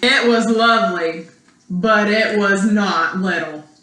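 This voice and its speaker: A woman's voice: medium pitch, takes it slowly, slight background noise. A woman schoolteacher reading a children's storybook aloud expressively, as if to her young students. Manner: expressive, asks young listeners questions. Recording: echoey room.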